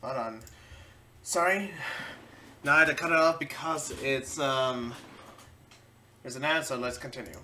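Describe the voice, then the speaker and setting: A woman's voice: extremely low pitch, unhurried, pauses often, pushed and bright, distant mic, a little hiss or hum. A woman hosting a casual reaction video, commenting over what is playing.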